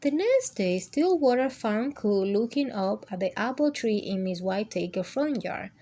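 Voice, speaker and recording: A woman's voice: mid-range voice, animated, a little slow, dark tone. A woman hosting a children's storytime, reading aloud and explaining simply like a teacher, checking in with her listeners. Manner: checks in with listeners using tag questions.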